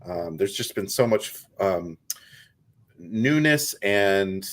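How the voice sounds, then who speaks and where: A man with a slightly low voice, hosting a casual interview show. He talks in an easygoing way and leans on fillers as he thinks. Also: animated, slow, a bit bright. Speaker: podcast host.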